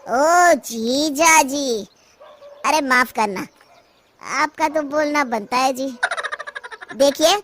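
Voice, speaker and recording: Woman playing a high-voiced pompous male doctor. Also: fairly bright tone, a little hiss or hum. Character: haughty male doctor, quick to flatter.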